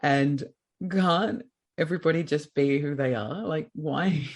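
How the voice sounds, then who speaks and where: Male podcast host, light soft-toned voice with a gravelly edge, rambles reflectively through personal stories. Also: fairly high pitch, measured pace. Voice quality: light voice, not very masculine. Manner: open, reflective, rambling with fillers. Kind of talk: casual podcast chat.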